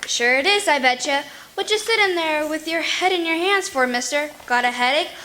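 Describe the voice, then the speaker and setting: Woman as a high, bright, chirpy little girl. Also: expressive, moderate pace. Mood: curious, eager. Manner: inquisitive, gushing, repeats questions. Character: eager, chirpy, pestering little neighborhood girl. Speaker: radio comedy actor. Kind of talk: old-time radio comedy acting.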